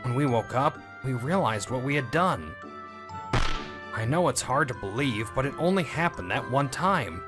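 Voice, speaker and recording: A mid-pitched young male voice played by an actor that rises and falls sharply with feeling. He is a shy, guilt-ridden friend in a comic dub, hesitant and earnest in his pleading. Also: animated, normal speed, music in the background, lightly acted. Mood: defensive, desperate. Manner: hesitant, emotional delivery. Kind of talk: anime-style comic dub acting.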